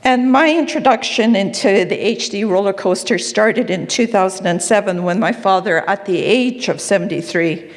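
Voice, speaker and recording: A woman with a Canadian accent speaks earnestly and candidly to a conference audience, pausing on fillers and slipping in small asides. Her voice sits at a middling pitch and carries a long hall reverb. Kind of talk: personal conference talk.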